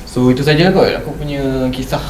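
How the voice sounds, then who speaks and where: A man's voice: fairly high pitch, brisk, a little mellow, slight background noise. A young man with a Malaysian accent, chatting casually as he tells ghost stories. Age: young adult. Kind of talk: casual ghost-story chat. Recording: roomy, distant mic, faint music.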